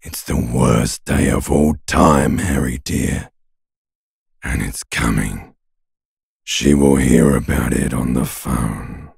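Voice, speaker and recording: An ancient, monstrous reptilian voice from inside the mind, extremely low and thick with gravel. It growls hoarsely and very slowly, taunting and menacing in dramatic video game style. The sound is close and dry. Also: male voice, a little mellow, no room sound, heavily acted. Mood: taunting, bleak. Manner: slow, drawn-out, taunting delivery. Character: ancient reptilian brain, menacing mocking inner voice.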